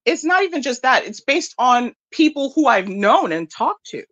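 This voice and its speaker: Animated American-accented woman caller. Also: high voice, moderate pace, dull audio. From Nigeria, raised in the United States. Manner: insistent, repeats her point. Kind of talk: phone-in debate.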